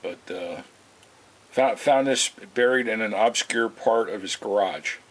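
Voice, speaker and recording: A man giving a casual, unscripted demonstration as a video host, speaking in a halting, rambling way. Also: fairly low pitch. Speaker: video host demonstrating vintage radio repair. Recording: hissy, noisy amateur home video.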